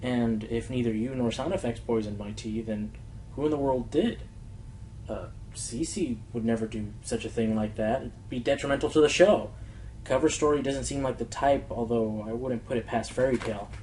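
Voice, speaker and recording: A man's voice: medium pitch, moderate pace, a little mellow, a little hiss or hum, close to an everyday voice, poor audio. A man acting as an earnest, easily exasperated male pony who hosts a radio show, insistent and theatrical, in a radio play. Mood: frustrated.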